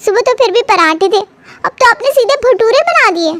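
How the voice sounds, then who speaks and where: Woman voicing a high-pitched whiny young daughter. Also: animated, moderate pace, fairly bright tone, dry recording. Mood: exasperated, whiny. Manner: complaining. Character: whiny young daughter worried about her weight.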